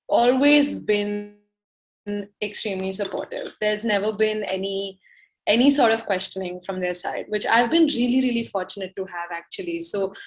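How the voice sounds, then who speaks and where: A young adult woman musician from South India chatting in an interview, reflective and slow at times. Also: mid-range voice, poor audio. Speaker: musician guest. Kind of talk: casual interview chat.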